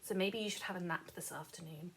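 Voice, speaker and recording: A woman playing someone's friendly, caring feelings, making a pretend phone call with gentle advice for children's storytime. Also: on the low side, brisk, breathy edge, pitched below the performer's own voice. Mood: kindly advising.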